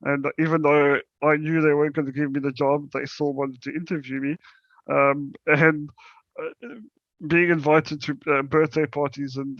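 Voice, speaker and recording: A young man with a South African accent giving a conference presentation, halting and full of fillers and restarts. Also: on the high side, fairly bright tone, no echo, highs cut off. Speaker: startup presenter.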